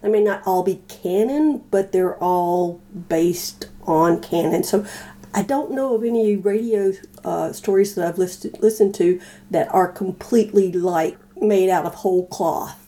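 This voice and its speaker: Mellow-voiced woman, casual podcast guest. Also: medium pitch, a little slow. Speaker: podcast guest, old-time radio fan. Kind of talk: casual conversation.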